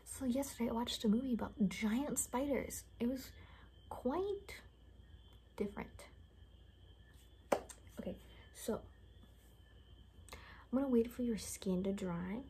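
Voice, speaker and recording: Soft whispering young woman, mellow ASMR. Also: on the high side, lively intonation, takes it slowly, long pauses, slight background noise, rough recording. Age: young adult. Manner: casual, rambling, chatty. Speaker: ASMR creator playing an attendant. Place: small bedroom indoors.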